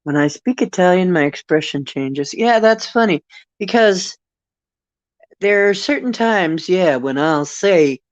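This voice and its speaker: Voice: mid-range voice, expressive, unhurried, dry recording, lo-fi sound. An older host with a Northeastern Ohio accent, chatting casually and expressively, laughing often and trailing off with fillers. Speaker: channel host. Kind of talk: casual livestream chat.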